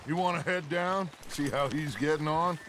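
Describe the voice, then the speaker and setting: An actor playing a silver-tongued, authoritative outlaw gang leader in a western video game, with theatrical delivery. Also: male voice, on the high side, normal speed, noisy background, close to an everyday voice. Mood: commanding. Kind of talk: dramatic video game cutscene acting. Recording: loud music behind, game sound effects.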